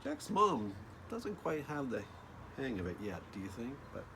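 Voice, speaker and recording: A man's voice: mid-range voice, huge pitch swings, moderate pace, creaky, noisy background. A man reading a children's picture book aloud expressively, with casual asides addressed straight to young listeners. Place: outdoors.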